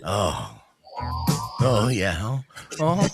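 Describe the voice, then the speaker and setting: A man playing a woman who loudly fakes pleasure in a diner, with breathy, rising moans and a very high voice. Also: huge pitch swings, drawn-out words, over loud music, exaggerated voice. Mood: moaning. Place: podcast studio.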